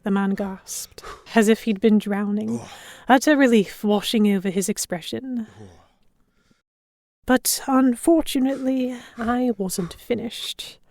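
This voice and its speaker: A woman narrating in first person as a composed captive in a historical pirate audio drama, with measured, dramatic storytelling. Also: a little high, darkish tone, no room sound, studio quality. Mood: measured, tense. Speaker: narrator and lead actor.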